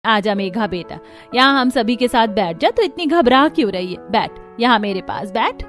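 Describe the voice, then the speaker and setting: A woman playing a warm, reassuring middle-aged mother-in-law in a cartoon story. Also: on the high side, expressive, moderate pace, soft background music. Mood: tender, welcoming. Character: warm, affectionate middle-aged mother-in-law welcoming her new daughter-in-law. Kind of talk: Hindi cartoon story character acting.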